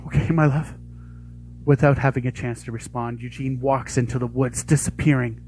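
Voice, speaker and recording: A man's voice: medium pitch, level tone, a little fast, darkish tone, slight background noise. A man reading out scene descriptions as scripted narration for a voice acting audition. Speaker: voice actor auditioning.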